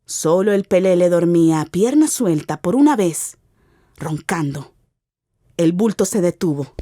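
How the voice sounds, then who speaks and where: A woman's voice: lowish voice, lively intonation, a little slow, warm tone, dry recording, studio quality. A woman giving an ominous, theatrical narration of a literary radio drama.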